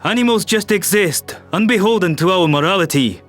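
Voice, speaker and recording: A man voicing a thoughtful, firm human military man who reasons deliberately, in an audio sci-fi story. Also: high-pitched, lively intonation, fairly bright tone, soft background music, voice pushed up. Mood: reasoning.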